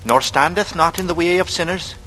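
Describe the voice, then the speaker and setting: Ingratiating young servant, fairly high and bright, coaxing with veiled menace, 1940s radio style. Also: male voice, fairly quick. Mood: solemn. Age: young adult. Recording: noisy vintage radio recording.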